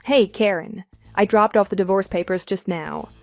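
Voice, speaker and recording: A woman's voice: mid-range voice, normal speed, vocal fry, no echo, close to an everyday voice. A woman playing a coolly sarcastic wronged wife in a radio drama, speaking in a dry, firm, matter-of-fact way. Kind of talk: scripted radio drama dialogue.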